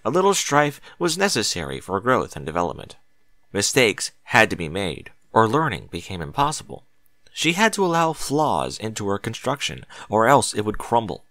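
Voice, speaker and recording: A man's voice: on the low side, wildly swooping pitch, moderate pace, sharp tone, no room sound. A man reading a story aloud as scripted narration, with dramatic, emphatic delivery. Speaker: audiobook narrator of fan fiction.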